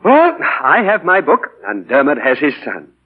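An actor playing an earnest, ambitious young English writer from the slums, with theatrical, emotional 1940s radio delivery. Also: male voice, fairly high pitch, huge pitch swings, normal speed, breathy edge, narrow, tinny audio, full character voice. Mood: pleading, wistful. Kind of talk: 1940s radio drama acting. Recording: band-limited old 1940 radio broadcast with music.

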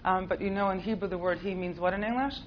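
A woman's voice: mid-range voice, fast talker, muffled recording, a little hiss or hum. A woman with an American accent, who grew up in Israel, teaching a class in a chatty, playful way with lots of fillers and laughs. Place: classroom with a live group.

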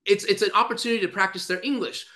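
Halting man, bright voice. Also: high voice, steady tone. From United States. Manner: halting, many restarts and fillers. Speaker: guest. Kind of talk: casual chat over video call.

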